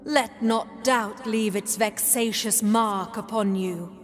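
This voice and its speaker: An actress playing a solemn holy woman in a dark fantasy game, with stately, archaic phrasing. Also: mid-range voice, fairly even intonation, takes it slowly, lightly acted. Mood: solemn, urging. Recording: long reverb over music.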